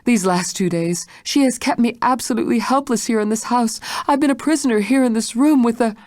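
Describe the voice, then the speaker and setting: A frightened, trapped woman, as a female reader puts her on, pleading in a high, strained voice that climbs sharply above the reader's own low one. The sound is close and dry. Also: normal speed, a little mellow, dry recording. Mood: desperate. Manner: desperate, high pleading whispers.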